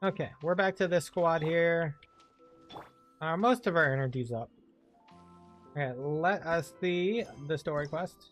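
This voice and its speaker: A man giving casual let's play commentary, thinking aloud in a hesitant, rambling way. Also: a little high. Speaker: game streamer.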